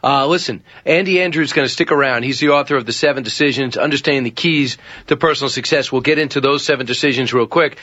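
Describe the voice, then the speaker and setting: A man's voice: mid-range voice, quick pace, hardly any pauses, sharp tone, dull audio. A man hosting a talk radio show, putting questions to his guest and steering the segment. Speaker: radio host.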